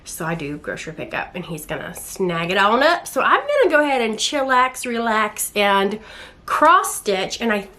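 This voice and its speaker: A woman's voice: mid-range voice, big pitch swings, moderate pace, sharp tone. A young woman with a Southern American accent, chatting casually and ramblingly in slangy language for a vlog at home. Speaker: book and craft vlogger.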